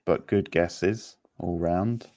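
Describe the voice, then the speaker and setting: A man with a low voice hosts a quiz in a casual, conversational style. He takes his time, speaking slowly and leaving space between phrases. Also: mellow. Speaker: quiz host. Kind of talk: casual live quiz hosting.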